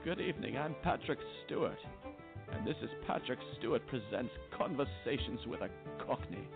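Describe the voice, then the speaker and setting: A man's voice: mid-range voice, animated, normal speed, vocal fry. A man playing a pompous, self-important older celebrity presenter in a mock prestige TV show. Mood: grand, measured. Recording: tinny lo-fi stream, string music underneath.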